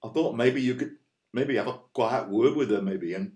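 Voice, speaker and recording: An actor playing an earnest, anxious Northern boyfriend in an audio play, with a West Yorkshire accent and a hesitant, rambling, colloquial way of talking. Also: male voice, medium pitch, normal speed, a little mellow, roomy sound, close to an everyday voice. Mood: anxious, pleading. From West Yorkshire, England. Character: earnest, anxious, plain-spoken Northern boyfriend, out of his depth.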